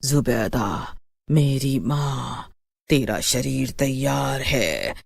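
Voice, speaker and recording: A sinister young witch, acted by a woman, intones her words with long, slow stretches. She sounds like a devoted daughter working dark magic in a horror cartoon. The sound is dry. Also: extremely low pitch, expressive, creaky, breathy edge, dry recording, exaggerated voice. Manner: slow, incantatory, drawn-out.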